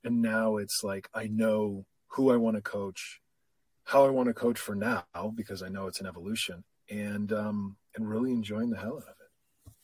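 Husky-voiced middle-aged man, reflective. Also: lowish voice, steady tone, moderate pace, dry recording. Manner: reflective, candid, self-deprecating. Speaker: podcast guest and coach. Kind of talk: casual conversation.

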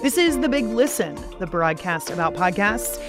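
A woman's voice: mid-range voice, sing-song, moderate pace, music in the background. A woman with an American accent hosting a radio show, reading scripted narration in a playful, conversational way. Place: dry studio.

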